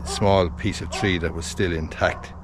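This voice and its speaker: A man's voice: low-pitched, steady tone, a little slow. A man in his sixties from Ireland, filming himself in his garden and telling a rambling story that keeps correcting itself. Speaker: vlogger. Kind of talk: casual selfie vlog. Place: outdoors in a garden by a house. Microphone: phone camera at arm's length. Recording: noisy outdoor phone recording.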